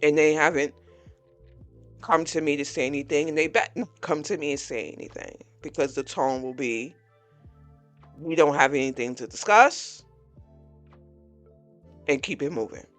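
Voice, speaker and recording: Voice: mid-range voice, a bit bright. A podcast host in their early forties giving a candid, blunt personal reflection, talking openly about frustration. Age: 40s.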